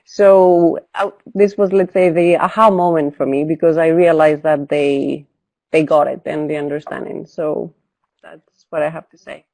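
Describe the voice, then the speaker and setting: Woman from Greece, teacher, low voice, dry sound, video call. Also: fairly even intonation, normal speed, gravelly, warm tone, dry recording. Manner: thoughtful, explains step by step with fillers. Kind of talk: webinar panel answer.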